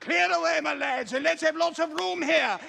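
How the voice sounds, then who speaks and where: A man playing a fat, jovial old merchant in a storybook reading, calling out loudly in a rich, hearty voice. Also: moderate pace, vocal fry, heavily acted. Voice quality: rich, booming. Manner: hearty, shouting.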